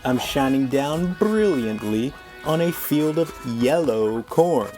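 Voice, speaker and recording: A woman voicing a self-important yellow crayon, smug and insistent, in a storybook read-aloud. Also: extremely low pitch, animated, slow pace, music in the background, heavily acted.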